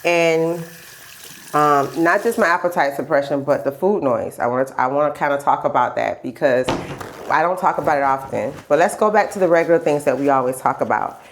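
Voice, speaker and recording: A woman's voice: deep voice, brisk, slight background noise. A woman vlogger chatting casually in a candid, rambling video diary, stuffy from a lingering cold and repeating phrases for emphasis. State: stuffy, getting over a cold. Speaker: vlogger sharing health journey.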